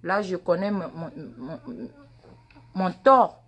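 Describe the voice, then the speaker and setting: A woman's voice: lowish voice, slow, a bit bright. A woman in her forties, a native Mina speaker with a Mina accent, lecturing her followers in an impassioned, repetitive way on a phone held at arm's length in a large indoor waiting room. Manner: impassioned, lecturing, repeats words and questions. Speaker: social media personality. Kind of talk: live phone video monologue.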